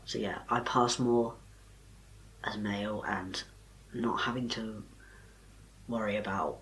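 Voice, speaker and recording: Soft-spoken young man. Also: lowish voice, steady tone, takes it slowly, low-quality recording. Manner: soft and quiet, little vocal power. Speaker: vlogger. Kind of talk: casual talk to camera.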